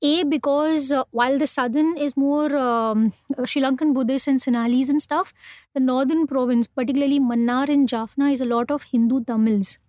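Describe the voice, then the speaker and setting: A young Indian woman telling travel stories in a relaxed interview, rambling on with plenty of ums and restarts. Her voice sits a bit high and sounds close and dry, with no room around it. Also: normal speed, no room sound. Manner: chatty, many fillers and restarts. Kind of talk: casual conversational storytelling.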